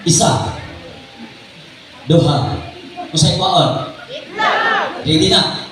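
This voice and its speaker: A man's voice: fairly high pitch, extremely slow, noisy background. A man with a Visayan Filipino accent working a live party as magician and host, playfully calling out prompts to the audience. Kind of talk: live magic show patter with audience games. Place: echoey party venue with music and crowd. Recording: echoey party venue, distant mic, loud music and crowd.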